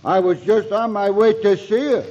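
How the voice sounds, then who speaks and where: An actor playing a wisecracking crook caught at gunpoint, with sly deadpan quips, in a 1940s radio comedy. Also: male voice, high voice, moderate pace. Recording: dull 1940s radio broadcast.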